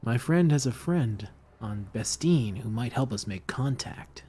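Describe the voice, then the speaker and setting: A man's voice: medium pitch, animated, unhurried, warm tone, husky. A man voicing an earnest, conspiratorial young rebel confiding in his friend, in an amateur table read of a film script. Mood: secretive, determined.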